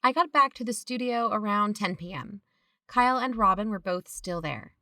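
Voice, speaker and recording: A woman's voice: medium pitch, animated, moderate pace, bright, forceful tone, dry recording. An actor playing an earnest, shaken woman investigator in an audio drama styled as a podcast. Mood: explaining, rueful.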